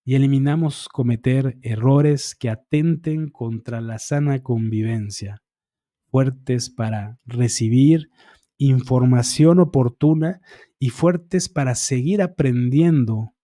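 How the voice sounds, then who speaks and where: A middle-aged man with a mellow, warm tone recites affirmations one after another in a calm, measured cadence. He sits close to a studio microphone in a small dark room, and the sound is dry and intimate. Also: medium pitch, dry recording. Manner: eyes often closed, fingertip to temple, concentrating. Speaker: energy healing practitioner. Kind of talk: guided affirmation session. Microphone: studio microphone on boom arm, close.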